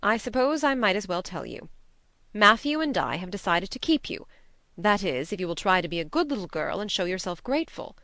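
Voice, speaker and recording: A woman's voice: mid-range voice, big pitch swings, a bit bright, dry recording. Woman as stern older guardian, swooping intonation. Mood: matter-of-fact. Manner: brisk, disapproving, plain-spoken. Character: stern, practical, no-nonsense older spinster guardian. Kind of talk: audiobook character reading.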